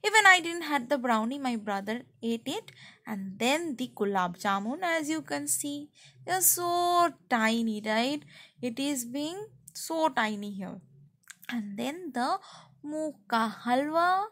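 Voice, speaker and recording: A woman's voice: high voice, animated, measured pace, pushed and bright. A woman with an Indian accent giving a casual food review as a vlogger's voice-over.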